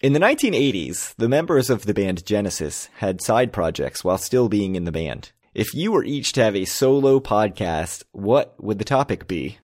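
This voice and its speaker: American guy cohosting a podcast, lowish voice, rambles and laughs at himself. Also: sing-song, normal speed, hardly any pauses, a little mellow. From United States. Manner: rambling, self-deprecating, laughs often. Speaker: podcast co-host. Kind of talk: casual chat.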